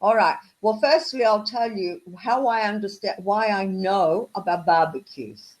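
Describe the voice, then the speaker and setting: A woman's voice: mid-range voice, unhurried. Encouraging Australian woman tutoring English. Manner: encouraging, patient, prompts questions. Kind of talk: online one-on-one conversation lesson.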